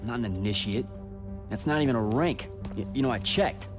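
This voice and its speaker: A man's voice: mid-range voice, normal speed, close to an everyday voice. Actor as cocky young male recruit, sarcastic, casual, a bit hesitant, game dialogue, music behind. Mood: awkward, flippant. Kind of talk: naturalistic video game acting.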